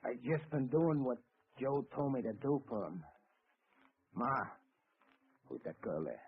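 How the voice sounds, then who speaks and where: A simple-minded young killer. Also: male voice, mid-range voice, big pitch swings. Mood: brooding. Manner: slow, childlike, rough grammar. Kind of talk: 1940s radio acting. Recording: tinny old radio broadcast with music.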